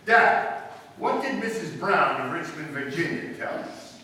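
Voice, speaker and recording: A man playing a clever male amateur detective in a live old-time radio drama, crisp and lecturing, building to emphatic points. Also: a little high, animated, unhurried, bright, forceful tone. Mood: explaining. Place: live stage performance in a hall. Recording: echoey hall from far off, faint hiss.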